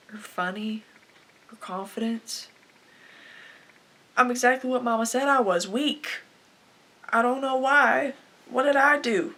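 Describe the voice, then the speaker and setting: A woman's voice: mid-range voice, heavily acted. A teenage girl playing a desperate, bitter young farm wife in a confessional dramatic monologue. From Canada. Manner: confessional, anguished, swinging from hushed to bursting. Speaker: aspiring actress performing a film monologue.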